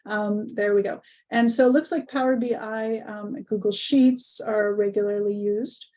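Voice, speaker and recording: A woman hosting an online webinar over a video call, a little hoarse, friendly and full of ums. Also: medium pitch, steady tone, measured pace, warm tone. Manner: friendly, many ums and uhs. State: slightly hoarse. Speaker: webinar host. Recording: muffled video call audio.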